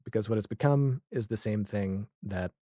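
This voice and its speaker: Low-pitched american guy, wry and opinionated, rambling casually in an interview, really dry close recording. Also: normal speed, mellow, dry recording. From Nashville area, US. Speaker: podcast guest, audio drama creator.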